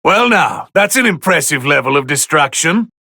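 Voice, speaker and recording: A man playing a haughty, bored god of destruction who commentates on video game fights in a condescending, sardonic, theatrical drawl with a gravelly edge. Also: a little high, sing-song, moderate pace, bright, forceful tone, no echo, clean studio sound, full character voice. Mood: detached, critical. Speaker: match commentator.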